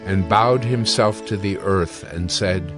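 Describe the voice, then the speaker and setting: Fairly low-voiced male scripture narrator. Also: measured pace, music in the background.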